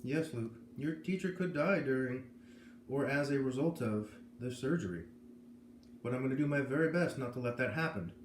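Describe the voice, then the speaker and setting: Grave, kindly physician read by a man, measured and careful, for a children's book read-aloud; echoey room, some noise. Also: medium pitch, natural voice. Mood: somber. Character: grave, kindly male doctor with greying hair. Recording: roomy echo, noticeable background noise.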